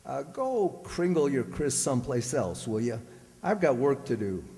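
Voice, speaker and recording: An actor playing a grumpy, blustering, scheming husband, folksy and wisecracking, in an old-time radio comedy. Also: male voice, medium pitch, sing-song, unhurried, dark tone. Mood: grumbling. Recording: very reverberant hall stage recording.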